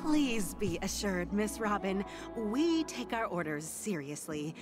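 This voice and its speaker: A woman's voice: mid-range voice, very animated, slow pace. An actress playing a dutiful, earnest, admiring female guard in a video game, speaking respectfully. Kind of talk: scripted game voice acting. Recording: loud game music behind.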